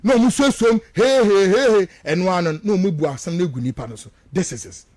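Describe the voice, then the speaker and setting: A man's voice: sits high, fairly quick, a little mellow, dry recording. A Ghanaian man giving emphatic, repetitive political commentary as he switches between two languages, full of rhetorical turns.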